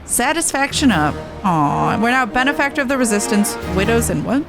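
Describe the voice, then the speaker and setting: A woman's voice: on the high side, expressive, moderate pace, music in the background, poor audio. A woman game streamer giving casual playthrough commentary and reading quest text aloud.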